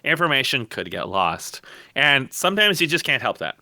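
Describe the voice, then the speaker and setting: A man with a very bright, hard-edged voice holds forth in an opinionated podcast discussion. He speaks bluntly and emphatically, often asking questions to press his point. The sound is close and dry, with little room to it. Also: medium pitch, very animated, moderate pace, vocal fry, dry recording. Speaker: podcast guest and game content developer. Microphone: voice call microphone.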